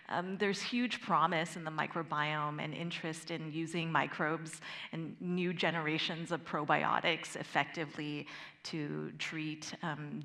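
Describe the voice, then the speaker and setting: A woman's voice: low voice, vocal fry, fairly bright tone. A woman moderating a panel discussion, introducing guests and asking questions, with frequent ums.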